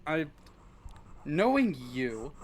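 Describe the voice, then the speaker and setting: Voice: medium pitch, sing-song, drawn-out words, slight background noise. An interview guest chatting casually on a livestream, joking and self-deprecating, with some swearing. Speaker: interview guest, script writer.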